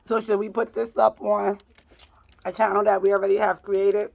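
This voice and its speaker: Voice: medium pitch, level tone, normal speed, gravelly, darkish tone. A vlogger from New York chatting casually while eating, playful and fond of repeating words. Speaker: vlog co-host. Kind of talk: casual mukbang chat.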